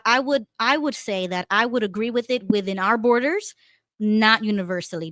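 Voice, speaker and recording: A woman's voice: medium pitch, no room sound, clean studio sound. A woman in a casual political discussion, talking animatedly with restarts and laughs. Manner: animated, laughing, repeats herself. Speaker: panelist.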